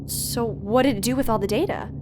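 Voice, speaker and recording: A woman's voice: a little high, fairly quick, noisy background, lightly acted. Woman acting anxious, insistent woman in audio drama. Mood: tense disbelief. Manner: urgent, questioning, emotionally tense. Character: worried, insistent young woman on a tense phone call, narrating her story. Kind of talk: audio drama acting with first-person narration.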